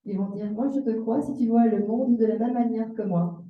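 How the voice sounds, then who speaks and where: Woman translating a talk live, highish voice, roomy kinda dull sound, corrects herself as she goes. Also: moderate pace. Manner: echoes the speaker's examples expressively, with self-corrections. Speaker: live interpreter. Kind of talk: consecutive interpreting of a seminar talk.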